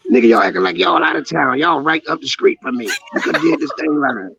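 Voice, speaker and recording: A man who is a comedian, talking hoarsely and teasing his hosts with brash, crude banter in a casual podcast chat over a call. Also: a little high, wildly swooping pitch, fairly quick, pushed and bright, dull audio. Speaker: guest comedian.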